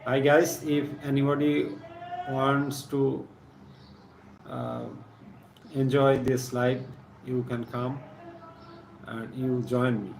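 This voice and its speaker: A man with a Bangladeshi accent giving a live chemistry lesson, partly reading aloud in a halting, deliberate manner, repeating phrases. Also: medium pitch, level tone, creaky. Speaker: science teacher hosting a live stream. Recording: echoey room with faint background noise.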